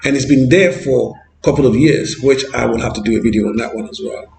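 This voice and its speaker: A man with a Ghanaian English accent speaks earnestly to camera from a street, repeating words and pausing on fillers. His voice has a gravelly edge. The sound is roomy, with faint street ambience behind him. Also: medium pitch, a little mellow, slight background noise. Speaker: vlogger. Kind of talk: walking street vlog. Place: outdoors on a street.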